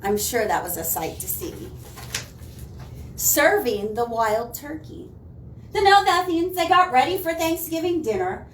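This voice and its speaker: A woman's voice: high voice, very animated, unhurried. A woman storyteller reading a children's picture book aloud expressively, with playful questions to listeners. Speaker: library storyteller. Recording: echoey room, distant mic, faint noise.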